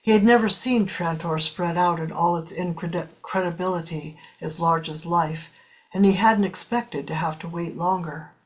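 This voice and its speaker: Lower-pitched woman narrating a story. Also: normal speed, slight background noise, low-quality recording. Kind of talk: scripted audiobook-style story narration.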